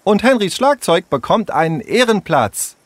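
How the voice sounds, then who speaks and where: A man's voice: high voice, big pitch swings, voice pushed up. A man voicing a cheerful, bossy young girl in a storybook reading, taking charge and handing out jobs. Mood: bossy, eager. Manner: eager, quick to take charge.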